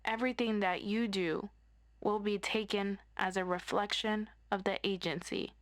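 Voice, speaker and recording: A woman's voice: medium pitch, fairly even intonation, moderate pace, natural voice. Woman acting as encouraging superhero tutor, instructive. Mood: serious. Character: friendly superhero best friend tutoring with an orientation lecture. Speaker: voice actor in roleplay audio. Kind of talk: scripted roleplay, instructional orientation narration.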